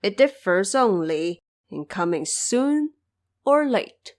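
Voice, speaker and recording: A woman voicing a solemn male judge of the underworld in an audio drama, with formal, deliberate, grave recitation. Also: medium pitch, sing-song. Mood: grave, warning.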